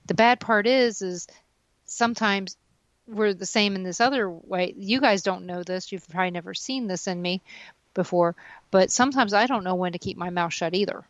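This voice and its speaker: A woman in her mid-fifties chats at length and pokes fun at herself on a radio show. Her voice sits at a middle pitch. It comes through dry and slightly dull, like a lo-fi line. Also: normal speed, dry recording. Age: 50s. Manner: talkative, self-deprecating, laughs at herself. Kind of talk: casual chat.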